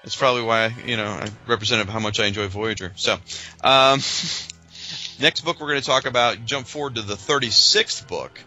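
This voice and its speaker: A man's voice: medium pitch, moderate pace, pushed and bright, faint music behind, poor audio. A man co-hosting a podcast, talking in an informal, opinionated, chatty way as he discusses things from loose notes. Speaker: podcast co-host.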